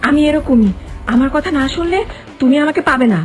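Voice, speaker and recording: A woman's voice: sits high, normal speed, music in the background, lightly acted. A woman voicing a possessed young woman in a cartoon, speaking in an insistent, pushy, defensive way.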